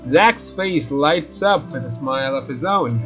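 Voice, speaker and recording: A man reads visual novel narration aloud as a let's play host, dropping in casual comments. His voice is a little higher than average. The recording is slightly dull, with soft game music behind. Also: animated, unhurried. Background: faint game music.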